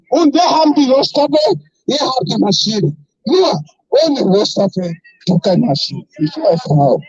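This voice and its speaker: A man giving an impassioned, shouted protest speech at an outdoor rally, in broken German. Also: very high-pitched. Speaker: rally speaker. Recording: dull, dry lo-fi sound.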